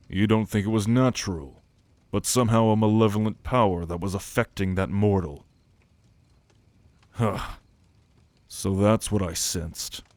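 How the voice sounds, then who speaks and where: A man acts out an ancient, fiery immortal from a fantasy audio drama. His voice is low and very unhurried, formal and solemn, with a haughty disdain for mortals. The sound is dry, with no room on it. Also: a little mellow, dry recording. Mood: grave, ominous. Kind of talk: fantasy audio roleplay acting.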